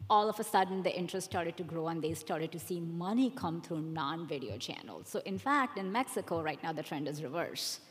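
A woman on a conference panel giving her opinion in an emphatic, conversational way. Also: on the low side. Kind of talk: panel discussion, personal opinion with examples. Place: conference hall, live panel. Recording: echoey hall with long reverb.

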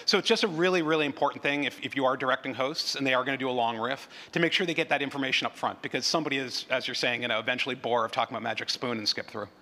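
A man's voice: mid-range voice, very fast, few pauses, bright, forceful tone. A man speaking as a conference presenter, explaining things in an informal, conversational way. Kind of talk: unscripted presentation and audience Q&A. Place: conference hall with audience. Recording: large reverberant hall.

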